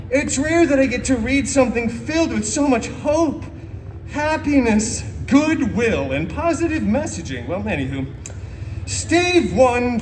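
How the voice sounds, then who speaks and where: Campy wisecracking antihero storyteller, high-pitched, swooping delivery, sarcastic asides, live stage comedy show. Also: male voice, slight background noise. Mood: mock delighted. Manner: campy, sarcastic, exaggerated emphasis. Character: flamboyant wisecracking masked antihero hosting a holiday storytime. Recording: live show PA, distant, music behind.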